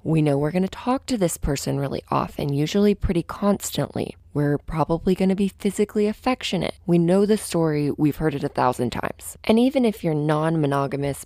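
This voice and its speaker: A woman with a low, crackly voice talks softly and in a hush, keeping it quiet to tame the crackle. Her tone is dark and mellow. She records in a small closet padded with pillows, and the sound is close and completely dry. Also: no echo. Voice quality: naturally crackly voice. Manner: soft, low, hushed delivery kept quiet to limit the crackle. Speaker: podcast host. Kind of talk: reflective solo podcast monologue. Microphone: studio microphone.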